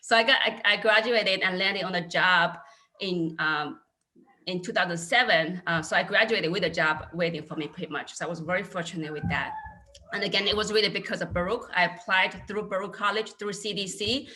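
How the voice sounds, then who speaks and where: A woman's voice: fairly low pitch, a little fast, bright, forceful tone, rough recording. A woman in her thirties from China with a Chinese accent, speaking candidly as an accounting panelist over a video call. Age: 30s. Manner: earnest, candid storytelling. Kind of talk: casual panel talk.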